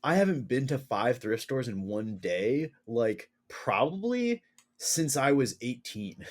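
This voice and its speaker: A man's voice: medium pitch, big pitch swings, slow pace. A man co-hosting a podcast, chatting in an easygoing, dryly joking way. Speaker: podcast co-host. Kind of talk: casual chat.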